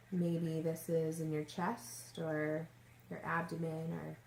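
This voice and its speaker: Low, even-voiced woman guiding meditation. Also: gravelly. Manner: gentle, unhurried instruction with long pauses. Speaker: yoga nidra meditation teacher. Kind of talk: guided meditation. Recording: roomy echo, faint background noise.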